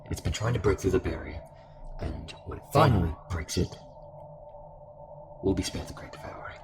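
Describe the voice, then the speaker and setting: A man acting a deep-voiced, sinister cult fanatic. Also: sing-song, noisy background. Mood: ominous, grave. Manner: taunting, measured, monologuing. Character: sinister, calm cult fanatic merged with a devouring entity. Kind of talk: dramatic audio roleplay acting. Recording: music under the voice.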